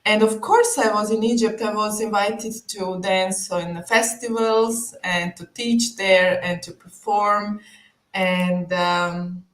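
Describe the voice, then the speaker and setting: A woman from Croatia, a belly dancer talking on a live video call, lively, laughing often and searching for words. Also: mid-range voice, slow, echoey room. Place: home room, on a video call.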